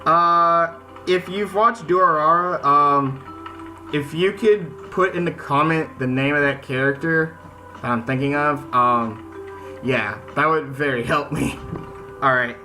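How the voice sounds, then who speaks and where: A man's voice: on the high side, slow, sharp tone, rough recording. A man doing casual let's-play commentary, reading game text aloud and reacting informally as he goes. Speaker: gaming video host. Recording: music under the voice. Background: music.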